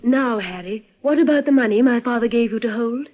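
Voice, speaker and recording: A woman's voice: a little high, brisk, noisy background, natural voice. An actress playing an earnest, determined young woman in an old radio drama, speaking directly. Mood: pressing. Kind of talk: 1950s radio drama acting. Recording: tinny, narrow-band old radio recording.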